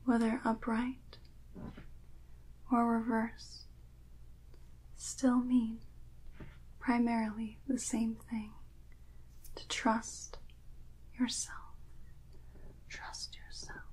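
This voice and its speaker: A woman's voice: on the high side, flat delivery, a little mellow, husky, heavily acted, low-quality recording. A woman playing a serene, encouraging mystic priestess from a tarot deck, repeating reassurances in a whispered ASMR roleplay. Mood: serene, reassuring. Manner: slow, repetitive, reassuring.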